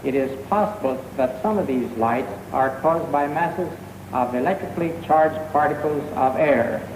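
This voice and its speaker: A middle-aged man giving a scripted scientific explanation, formal and measured. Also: a little high. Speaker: physicist. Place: laboratory. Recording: echoey, noisy old film sound.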